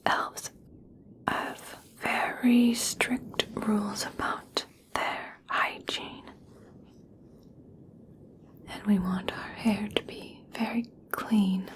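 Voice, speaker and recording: A gentle young elf princess, acted by a woman speaks in a breathy whisper. She is extremely slow and deliberate, leaving long pauses. The delivery is soothing and caring, in the style of an ASMR personal attention roleplay. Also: medium pitch, steady tone. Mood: gently explaining.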